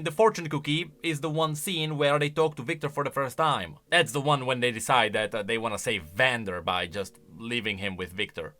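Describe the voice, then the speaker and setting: A bright, forceful male voice with very lively intonation, commenting casually on video game lines. He jumps between emphatic bursts and quieter asides, while music plays faintly behind him. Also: mid-range voice.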